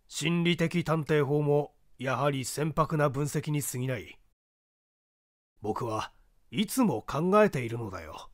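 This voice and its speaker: A man's voice: fairly high pitch, no room sound, studio quality. A man voicing an opinionated professor of forensic medicine in a dramatic audiobook reading, declaiming in a didactic, emphatic way.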